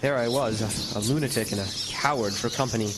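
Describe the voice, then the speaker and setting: A man playing a haunted lighthouse keeper, narrating in old-time radio horror style with halting, suspenseful pauses and repeated words. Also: mid-range voice, heavy background noise, lightly acted. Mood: tense, weary.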